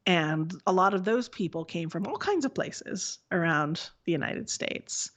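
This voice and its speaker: A woman from the Texas Panhandle co-hosting a casual chat, blunt and quick with asides. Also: fairly low pitch, animated. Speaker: podcast co-host.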